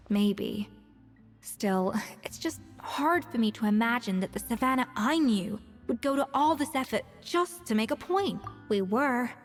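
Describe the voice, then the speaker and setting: A woman playing a proud, confident master chef who speaks in a measured, self-assured way. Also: a little high. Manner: self-assured, measured, turns wistful when alone. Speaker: head chef. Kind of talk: video game dialogue. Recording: reverberant game audio with faint music.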